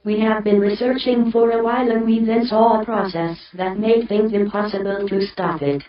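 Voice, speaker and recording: A woman's voice: medium pitch, fairly even intonation, moderate pace, natural voice. Echoey, distant female researcher played by a woman. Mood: earnest, urgent. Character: earnest female researcher reporting urgent doomsday findings by phone. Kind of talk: amateur disaster audio drama. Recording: very echoey, distant, muffled sound.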